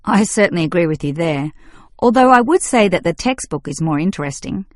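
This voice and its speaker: Female student played by a woman, young, agreeable yet opinionated, measured scripted delivery, dry studio acoustic. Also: on the low side, lively intonation, no room sound, close to an everyday voice. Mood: agreeable, reasoning. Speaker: student in a listening test dialogue. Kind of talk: scripted exam dialogue acting.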